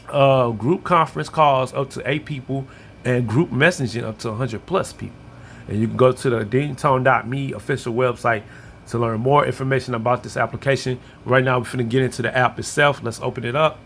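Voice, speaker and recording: A man's voice: medium pitch, fairly bright tone, a little hiss or hum. An American man giving an informal, step-by-step app walkthrough as a tutorial presenter.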